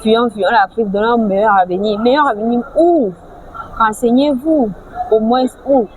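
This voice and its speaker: A woman's voice: fairly high pitch, moderate pace. A woman from French-speaking Africa giving a casual advice vlog, lecturing with rhetorical questions and frequent restarts. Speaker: vlogger. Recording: clear background noise, vehicles.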